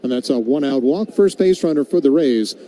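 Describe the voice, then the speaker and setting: Very mellow-voiced male baseball play-by-play commentator. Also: medium pitch, animated, brisk. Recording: live broadcast with clear background noise.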